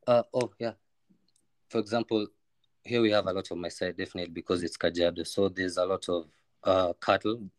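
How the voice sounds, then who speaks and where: A man's voice: deep voice, steady tone, brisk, no room sound. A man with a Kenyan accent talking casually as a podcast guest, informal and sometimes searching for words. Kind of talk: casual chat.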